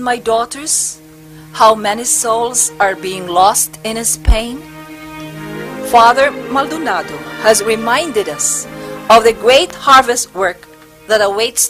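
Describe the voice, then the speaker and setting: A steadfast convent foundress acted by a woman on stage, her voice a little high and very measured. She is motherly yet firm, declaiming solemnly while music plays clearly behind her. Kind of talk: amateur stage play acting.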